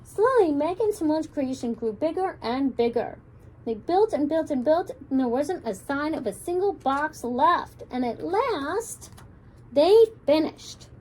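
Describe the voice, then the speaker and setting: A woman children's librarian reading a picture book aloud with expressive, lilting storytelling. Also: sits high, a little slow, rough recording.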